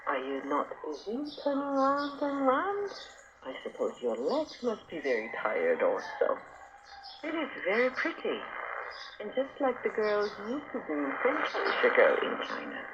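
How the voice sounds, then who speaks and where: A man's voice: sits high, wildly swooping pitch, a little slow, pitched above the performer's own voice, exaggerated voice. Man reading as an imperious old empress, noisy shortwave. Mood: opinionated, curious. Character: imperious, curious, opinionated old Chinese empress. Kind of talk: audiobook character reading. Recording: noisy, muffled shortwave radio broadcast.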